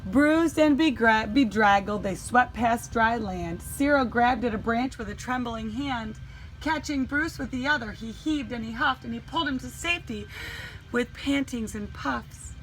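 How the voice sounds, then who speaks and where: A woman reading a children's storybook aloud in an animated, dramatic way with deliberate emphasis. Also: on the high side, a little hiss or hum, poor audio. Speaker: teacher reading a picture book.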